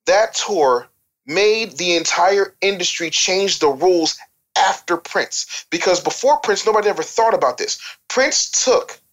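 Emphatic, lecturing panelist with a mid-pitched voice. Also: expressive, a little slow, a bit bright, poor audio. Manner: emphatic, lecturing, asks rhetorical questions. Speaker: talk show panelist. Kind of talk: opinionated music-industry discussion.

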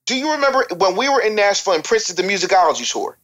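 Panelist, medium pitch, emphatic and lecturing, argues points through rhetorical questions. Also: expressive, very fast, a bit bright, muffled recording. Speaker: talk show panelist. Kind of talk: opinionated music-industry discussion.

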